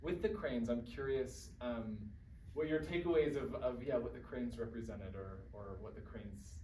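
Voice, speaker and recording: A man's voice: mid-range voice, normal speed, a little mellow, a little hiss or hum. Distant male moderator in an echoey room. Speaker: talkback moderator. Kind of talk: casual audience discussion hosting. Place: indoor theatre room, mic far off.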